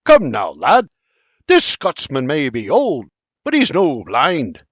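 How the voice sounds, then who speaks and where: A man's voice: fairly high pitch, huge pitch swings, slow, a bit bright, husky, dry recording, exaggerated voice. A man voicing a fatherly, joking old Scottish nobleman in broad dialect. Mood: hearty. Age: older. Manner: boisterous, teasing, broad dialect.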